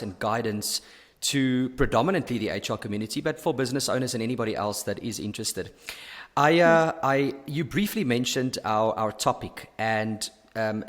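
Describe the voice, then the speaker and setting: A man hosting a podcast at a middle pitch, upbeat and effusive in his delivery. He often restarts his sentences as he works through his questions. His voice carries a long reverb tail. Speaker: podcast host. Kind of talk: podcast interview.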